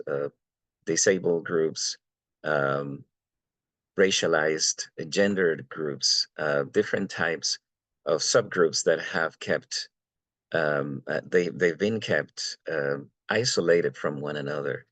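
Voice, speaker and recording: Pria bersuara sangat rendah dari Venezuela. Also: male voice, very deep voice, fairly bright tone, no room sound. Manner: halting, many fillers and repeated words. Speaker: panelist. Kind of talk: academic panel talk.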